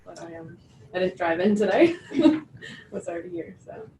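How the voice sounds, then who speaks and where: A young female student talks casually with a gravelly creak. She is far from the mic in an echoey classroom. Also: medium pitch, very animated, moderate pace, dark tone. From small-town Ontario, Canada. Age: young adult. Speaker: graduate student. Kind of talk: casual self-introduction. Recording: echoey room, distant mic, faint noise.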